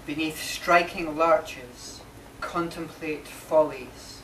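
A man in his forties reads his poetry aloud, unhurried and phrase by phrase. He is heard from a distance in an echoey space, with clear background noise. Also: on the high side, fairly bright tone. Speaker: poet reading own work. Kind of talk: live poetry reading, short measured phrases. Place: marquee with a small seated audience. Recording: distant, echoey, noisy live recording.

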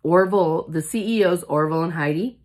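Hoarse, husky-voiced woman gushing in a vlog. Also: lowish voice, fairly quick, a little mellow. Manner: chatty, gushing and enthusiastic. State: lost her voice, hoarse with a cough. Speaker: independent product consultant. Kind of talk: casual product unboxing vlog. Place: small indoor room with shelves, seated at a table.

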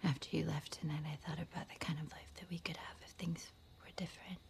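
A woman performing a dramatic film monologue as a woman confessing forbidden love, in a breathy, whispery, intimate voice. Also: low-pitched, flat delivery, fast talker. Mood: tender, wistful. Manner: hushed, confessional delivery.

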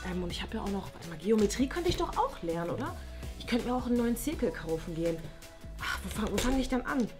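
A woman's voice: a little high, very animated, fast. Young woman as a fussy, nerdy model pupil. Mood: earnest, busy. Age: young adult. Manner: earnest, fussy, hesitant muttering to herself. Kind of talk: acted comedy sketch for online video. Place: room indoors, at a table. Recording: music behind the voice.

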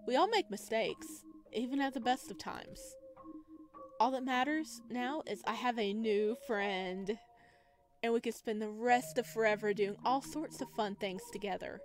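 A woman's voice: on the high side, lightly acted. Woman voicing a sweet, clingy children's show host. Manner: coaxing, soothing, over-friendly.

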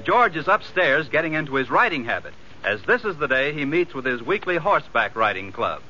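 A man's voice: fairly high pitch, very animated, a little fast, sharp tone, a little hiss or hum. A man announcing and narrating a scripted 1940s radio comedy. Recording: muffled old radio broadcast recording. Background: music.

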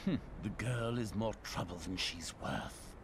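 An actor playing a suave, sinister sorcerer villain in a video game, with an oily, menacing, mock-courteous manner. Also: male voice, on the low side, a little slow, background noise, lightly acted. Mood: scheming. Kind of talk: animated game villain acting.

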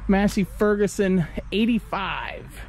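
A man's voice: high-pitched, expressive, slow pace, vocal fry, a little mellow. A man, vlogging in an easygoing, chatty way while tired out on his farm. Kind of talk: casual vlog narration. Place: outdoors on a farm and in a pickup truck. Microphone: handheld action camera at arm's length.

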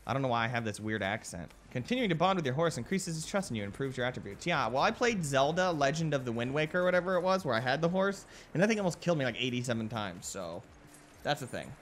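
A man's voice: mid-range voice, sing-song, fast talker, slight background noise. A young man giving casual, joking gameplay commentary in a playful, goofy manner. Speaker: gaming YouTuber.

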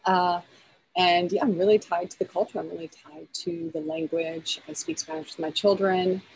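A woman in her forties talks eagerly on a video call, her voice sitting a little low. She restarts her sentences often as her thoughts run ahead. Faint outdoor ambience hums behind her. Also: fairly even intonation, moderate pace, vocal fry, a little mellow, slight background noise, poor audio. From United States. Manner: enthusiastic, many restarts and fillers, talks with her hands. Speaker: film editor, guest. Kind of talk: casual interview over video call. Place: outdoors in a backyard.

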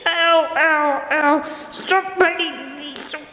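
A woman voicing a whiny, indignant young sock puppet in a homemade puppet show, with dramatic, drawn-out complaints. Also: high-pitched, fairly even intonation, pushed and bright, heavily acted. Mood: suspicious, straining. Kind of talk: improvised amateur puppet show dialogue. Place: indoors, room at home with wooden floor. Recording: echoey hard-floored room, faint hiss.

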